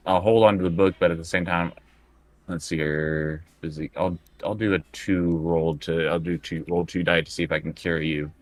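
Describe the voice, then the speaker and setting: A man's voice: sits low, fairly bright tone, dry recording. A man chatting casually as a tabletop roleplaying player, thinking aloud and working through his choices bit by bit.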